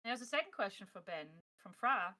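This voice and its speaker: A woman's voice: mid-range voice, lively intonation, a little fast, pushed and bright. A woman hosting a casual livestream and passing on questions, informal and encouraging. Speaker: livestream host relaying chat questions.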